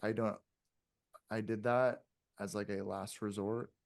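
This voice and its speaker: A man in his late twenties with a fairly low voice talks casually on a podcast. He is reflective and hedges his points, swearing now and then. The sound is close and very dry. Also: little pitch movement, unhurried, vocal fry, dry recording. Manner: hedging, reflective, frequent swearing. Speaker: podcast guest.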